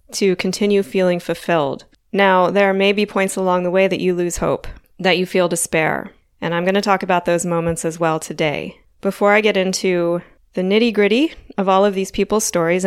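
A woman in her forties hosting her own podcast in a chatty, casual way, a little hoarse from a frog in her throat and laughing lightly between thoughts. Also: lowish voice, no room sound, clean studio sound. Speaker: podcast host. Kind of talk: casual solo podcast talk.

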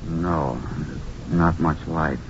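An actor playing a weary injured man who speaks haltingly and plainly in a 1950s radio drama. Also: a bass voice, fairly even intonation, heavily acted. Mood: weak, subdued. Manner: halting, subdued, plain-spoken. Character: weary wounded man recounting an attack. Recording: noisy, muffled old radio recording.

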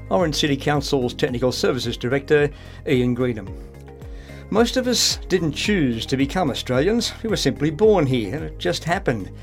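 A man's voice: fairly high pitch, very animated, moderate pace, soft background music. A man working as a news reporter, asking interview questions and narrating a local news story.

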